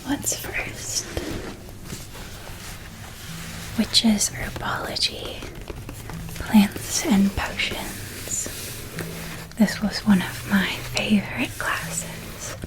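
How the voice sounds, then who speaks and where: A woman voicing a gentle, doting witch parent in a soft, breathy whispered ASMR roleplay, tender and softly enunciated. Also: mid-range voice, very slow, poor audio. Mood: tender, nostalgic. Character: gentle doting witch parent helping a child pack for school.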